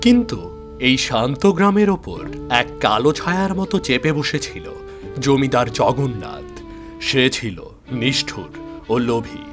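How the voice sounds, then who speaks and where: A man's voice: medium pitch, lively intonation, measured pace, over music. A man narrating a folk tale in a scripted storybook style.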